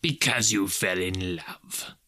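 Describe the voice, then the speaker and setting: A man voicing a grumpy, miserly old businessman in a storybook, gruff, curt and bitterly contemptuous. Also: lowish voice, expressive, moderate pace, pushed and bright, no room sound, clean studio sound. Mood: scornful, dismissive.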